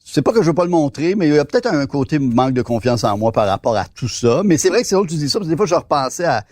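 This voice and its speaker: A man in his fifties with a Québécois accent, hosting a casual podcast chat, animated and often restarting his words. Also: fairly high pitch, very fast, dry recording, studio quality. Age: 50s. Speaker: podcast host.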